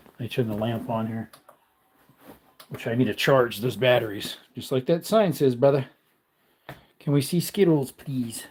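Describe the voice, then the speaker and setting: A man in his thirties hosting a casual livestream from his home man cave, rambling and reading out viewer comments. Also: a little mellow. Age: 30s. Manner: high baby-talk when calling his dog. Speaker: livestream host.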